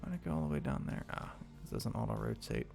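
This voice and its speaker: A man's voice: very deep voice, huge pitch swings, fast talker, vocal fry, a little mellow, faint music behind. A man streaming a video game live, chatting casually with viewers, rambling with lots of fillers as he loots and sorts gear.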